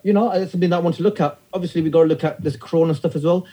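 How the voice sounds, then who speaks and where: A comedian in his thirties with a northern English accent, telling stories in a relaxed interview with wry, self-deprecating humor. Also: a little high, racing, breathy edge. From north of England. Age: 30s. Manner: wry storyteller, self-deprecating, many fillers. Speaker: stand-up comedian guest. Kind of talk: casual interview chat.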